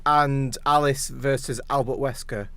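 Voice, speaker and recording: Man, medium pitch, close dry sound, podcast co-host, opinionated, argumentative, casual film talk. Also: steady tone, measured pace, bright, forceful tone, smooth, no room sound. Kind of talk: casual chat about films.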